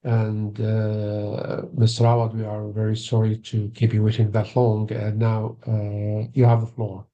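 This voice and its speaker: A man's voice: lowish voice, completely flat delivery, a little slow, few pauses. A man moderating a webinar, making polite formal introductions in a courteous, hesitant way. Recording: dull, muffled online call audio.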